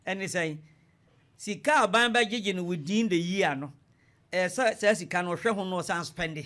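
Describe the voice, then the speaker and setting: Male Ghanaian expert guest for a radio interview, high voice, explains point by point with teacherly emphasis. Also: moderate pace, pushed and bright. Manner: didactic, emphatic, step-by-step explaining. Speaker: economics lecturer, studio guest.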